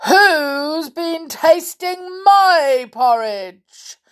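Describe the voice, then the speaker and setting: Woman doing big grumpy daddy bear for a kids tale, growly, really slow and heavy. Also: very high-pitched, lively intonation, pitched above the performer's own voice, full character voice. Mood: angry, cross. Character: big gruff father bear, cross and growling.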